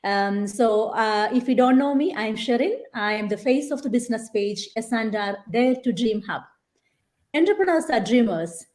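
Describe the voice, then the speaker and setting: A woman's voice: fairly high pitch, animated, normal speed, darkish tone. A woman hosting a live online interview, chatty with many fillers and restarts. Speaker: host.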